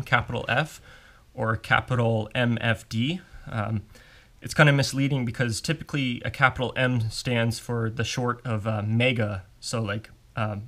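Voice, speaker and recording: A man's voice: mid-range voice, a bit bright. A man giving a casual, step-by-step technical demonstration as an instructor at a workbench. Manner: informal, explanatory, step-by-step. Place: indoors at a workbench.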